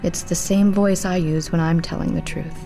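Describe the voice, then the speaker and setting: A woman's voice: fairly low pitch, fairly even intonation, moderate pace, gravelly, mellow, faint music behind. An actress playing an earnest, troubled immortal woman in an audio drama, speaking with intense deliberation. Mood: insistent.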